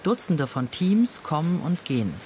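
A man giving calm, measured, scripted narration for a documentary report. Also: fairly high pitch, slight background noise.

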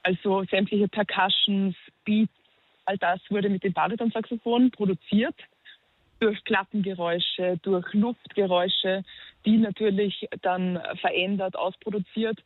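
A young woman from Upper Austria with an Upper Austrian accent, a musician talking in a phone interview, pausing thoughtfully to find her words. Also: medium pitch, fairly even intonation, dry recording. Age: young adult. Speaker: musician guest. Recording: dry tinny phone line.

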